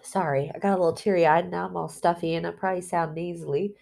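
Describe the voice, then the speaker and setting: A woman talking candidly in a personal spiritual vlog, rambling and heartfelt, with fillers, restarts and soft laughs between phrases. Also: lowish voice, steady tone, fast talker, creaky. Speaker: spiritual vlogger.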